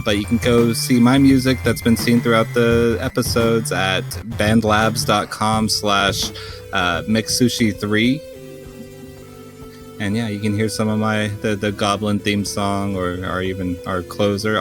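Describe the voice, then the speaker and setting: A man's voice: fairly low pitch, fairly even intonation, a little slow, over music. A man chatting casually as a player on a tabletop podcast. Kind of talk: casual chat.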